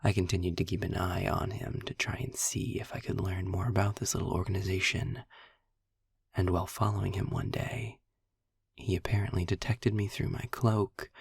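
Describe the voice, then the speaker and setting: A man playing a sardonic, darkly amused demon in an intimate audio roleplay, telling his tale in a breathy, gravelly near-whisper with wordy, deliberate phrasing and dramatic pauses. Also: deep voice, fairly even intonation, no room sound, studio quality, full character voice. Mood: wry, intrigued. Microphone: close microphone in a dry, quiet space.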